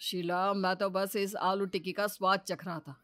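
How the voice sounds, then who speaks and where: A woman's voice: medium pitch, flat delivery, normal speed, fairly bright tone, natural voice. A woman voicing a greedy male village snack seller in a children's moral story, chatty, emphatic and persuasive. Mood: scheming.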